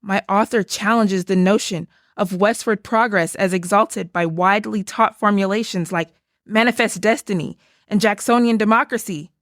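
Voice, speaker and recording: A woman's voice: mid-range voice, normal speed, a bit bright, no room sound, studio quality, close to an everyday voice. A woman playing a proud, earnest history book on trial in a puppet show, speaking in a declamatory theatrical way. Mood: pleading, proud.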